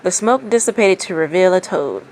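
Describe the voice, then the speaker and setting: A woman reading fan fiction aloud as expressive story narration. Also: on the low side, moderate pace, a little hiss or hum, rough recording.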